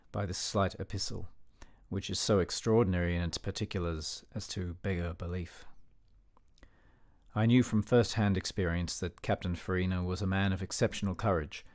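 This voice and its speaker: Low-voiced, dignified older gentleman narrator played by an actor. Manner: measured, dignified, censorious. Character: disapproving, educated older man narrating a memoir. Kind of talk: formal scripted radio play narration.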